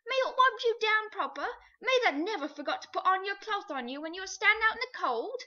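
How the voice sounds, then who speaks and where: Woman voicing a rough-spoken old cab driver. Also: sits high, big pitch swings, normal speed, pushed and bright, heavily acted. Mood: indignant. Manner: coarse working-class dialect, earnest. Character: rough working-class London cabby, middle-aged man. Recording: dull lo-fi recording.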